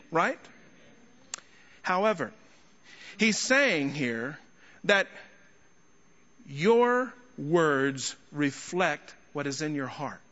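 A man preaching an expository sermon to a congregation, speaking emphatically with rhetorical questions and deliberate pauses. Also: a little high, fairly bright tone. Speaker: guest preacher and teacher. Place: large indoor church hall. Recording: echoey large hall, slightly muffled sound.

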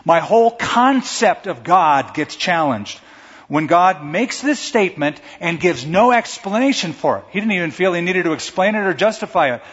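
A man preaching a sermon to a congregation in a conversational, animated way, sometimes acting out stammering quotes. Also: high-pitched, slightly rough. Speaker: pastor. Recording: dull sound in a large reverberant hall.

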